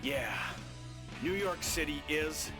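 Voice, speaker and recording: A bombastic President turned action hero in a video game. His voice sits a little high, full of patriotic confidence. Loud music runs behind it. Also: slow pace. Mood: proud, resolute. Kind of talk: scripted game dialogue.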